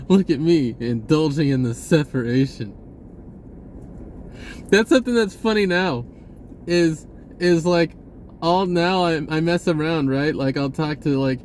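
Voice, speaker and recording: Halting, rambling man over clear background noise. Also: fairly high pitch, a little mellow. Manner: halting, self-interrupting, informal. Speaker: spirituality vlogger. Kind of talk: casual rambling spiritual monologue.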